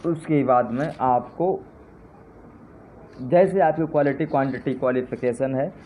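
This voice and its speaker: A man's voice: medium pitch, measured pace, dark tone. A man giving an impassioned live address to his supporters, with fervent, repetitive appeals that rise to indignant jabs. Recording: tinny phone-line sound with faint noise.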